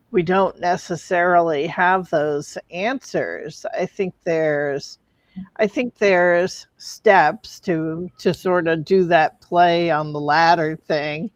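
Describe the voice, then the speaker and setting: A woman with a fairly low voice speaks slowly and tentatively in a panel over a video call. Her audio sounds dull and dry. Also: dry recording. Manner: tentative, halting. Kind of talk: online panel discussion.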